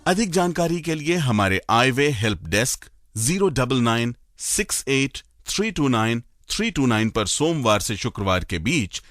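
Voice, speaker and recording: A man's voice: medium pitch, sing-song, a little slow, a bit bright, no echo, clean studio sound, faint music behind. A man reading a scripted radio announcement.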